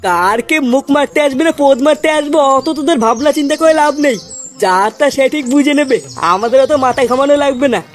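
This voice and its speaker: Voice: high-pitched, quick pace, soft background music, natural voice. An actor voicing an exasperated, foul-mouthed village youth in a comic cartoon, chiding and matter-of-fact.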